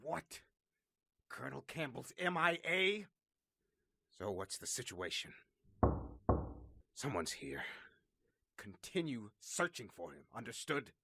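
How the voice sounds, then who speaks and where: A man's voice: mid-range voice, sing-song, takes it slowly, vocal fry, pauses often, bright, forceful tone, breathy edge, no echo, heavily acted. A man playing a pompous, irritable middle-aged general in a radio drama, blustering and evasive. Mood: blustering, indignant. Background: faint music.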